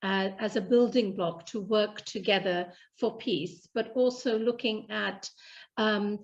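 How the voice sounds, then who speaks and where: A woman's voice: medium pitch, a little slow, lo-fi sound. A woman speaking as a guest on a radio show, explaining in answer to a question. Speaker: radio guest, chair of faith women's network.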